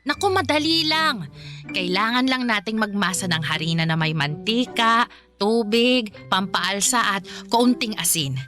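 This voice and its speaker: An older adoptive mother in a Filipino radio drama. Her voice is bright and mid-pitched. She is animated, practical and kindly, talking like a busy parent at home. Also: unhurried, faint music behind. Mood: cheerful, instructive.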